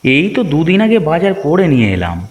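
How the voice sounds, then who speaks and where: Grumpy, swooping cartoon husband. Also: male voice, on the high side, wildly swooping pitch, fast talker, in a large hall. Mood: irritated, sleepy. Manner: complaining, exaggerated. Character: grumpy lazy sleepy village husband and father. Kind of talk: children's moral story cartoon dubbing.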